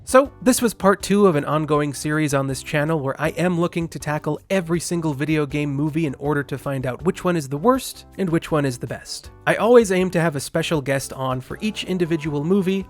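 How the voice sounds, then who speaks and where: Higher-pitched man narrating a movie review. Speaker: video host and film reviewer. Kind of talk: scripted video-essay narration.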